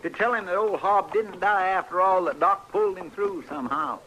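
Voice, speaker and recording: An actor playing a folksy, loyal frontier deputy who grumbles yet defers, with a rural American accent, in old-time radio style. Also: male voice, high voice, normal speed, pushed and bright. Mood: grumbling. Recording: noisy old radio drama recording.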